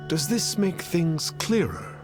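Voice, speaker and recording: Casting: a grandiose, smug charlatan sage for a game, stately old-fashioned diction, unhurried, music underneath. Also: male voice, a little high, very animated. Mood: condescending, assured. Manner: pompous, archaic, stately speech. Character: pompous self-styled male immortal sage, smug charlatan. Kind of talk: scripted video game voice acting.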